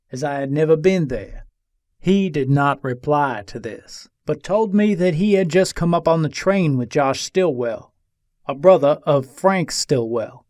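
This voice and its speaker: A man performs an embattled Old West gunslinger in a dramatic reading. The voice sits a little high for a man and is recorded dry and close. He tells his side from jail in deliberate, formal, old-fashioned phrasing. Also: darkish tone, dry recording, close to an everyday voice. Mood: measured recounting.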